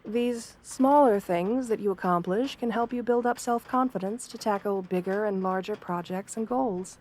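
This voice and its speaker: A kind wolf girl therapist, acted by a woman for an ASMR roleplay. She speaks softly at a medium pitch. Her manner is gentle and professional, measured and reassuring, like a patient counsellor. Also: lightly acted. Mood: encouraging, instructive. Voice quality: soft-spoken. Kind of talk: soft-spoken ASMR roleplay.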